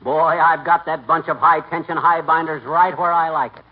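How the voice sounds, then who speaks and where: An actor playing a boastful, stubborn middle-aged American husband in a 1940s radio comedy, with a bombastic, folksy manner. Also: male voice, on the high side, steady tone, moderate pace, natural voice. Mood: excited. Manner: bombastic, folksy, indignant bragging. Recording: dull, noisy 1940s radio recording.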